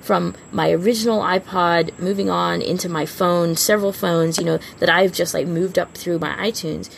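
Low, very creaky-voiced woman podcaster. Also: steady tone, normal speed, slight background noise, poor audio. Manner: informal, rambling, self-interrupting. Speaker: podcast co-host. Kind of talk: casual fan podcast chat.

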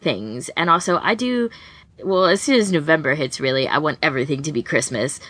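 A woman's voice: low-pitched, animated, brisk, fairly bright tone. A woman podcast co-host chatting casually and informally as she gives recommendations, often breaking off and rephrasing. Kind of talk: casual chat, giving recommendations.

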